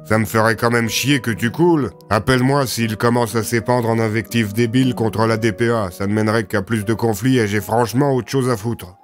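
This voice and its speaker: A man's voice: lowish voice, steady tone, a little fast, hardly any pauses, faint music behind, close to an everyday voice. Man playing a blunt, weary police captain. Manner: gruff, colloquial, swearing. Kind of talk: audio drama character dialogue.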